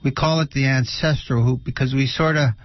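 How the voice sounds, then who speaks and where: A middle-aged or older man giving unscripted spiritual teaching on a radio show, halting and often pausing mid-sentence. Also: medium pitch, steady tone, no room sound, lo-fi sound.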